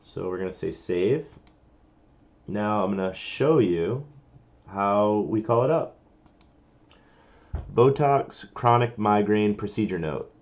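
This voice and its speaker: Male tutorial presenter, lowish pitch, informal and explanatory, walking through steps, on a somewhat muffled lo-fi recording. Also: expressive, slow pace, pauses often. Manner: informal, explanatory, talking through each step. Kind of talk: casual step-by-step screen-recording tutorial.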